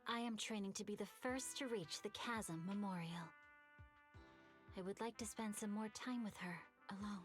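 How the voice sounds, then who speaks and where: A woman's voice: medium pitch, close to an everyday voice. A woman playing a stoic, resolute female commander in a video game, speaking formally and with composure. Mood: composed, formal. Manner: formal, composed, deliberate wording. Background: background music.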